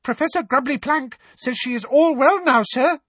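A man voicing a small, eager male house elf with a squeaky, high, deferential voice. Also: moderate pace, fairly bright tone, dry recording.

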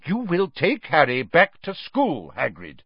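A man voicing an elderly, authoritative headmaster in an audiobook, speaking sharply and firmly with measured command. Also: medium pitch, very animated, husky, no room sound, lo-fi sound.

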